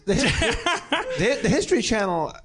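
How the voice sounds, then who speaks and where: A man joking casually, on a recording with loud noise. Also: very high voice, big pitch swings, unhurried. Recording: loud background noise, clicks.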